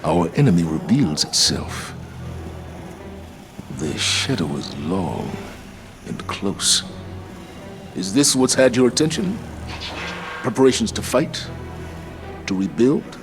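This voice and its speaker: Grave, stern commander. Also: male voice, low-pitched, sing-song, over music, full character voice. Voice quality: measured, authoritative.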